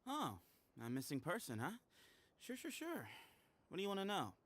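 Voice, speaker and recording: An actor playing the sly, teasing leader of an armed band in a video game, with a glib, mocking, casual manner. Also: male voice, high voice, huge pitch swings, normal speed, a bit bright, full character voice. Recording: faint background noise.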